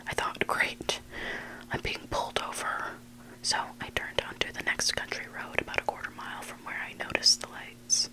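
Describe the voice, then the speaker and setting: An ASMR host whispering scary stories in a hushed, unvoiced voice, close to the microphone. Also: low-quality recording. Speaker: ASMR host reading listener-submitted stories. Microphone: close microphone made for headphone listening.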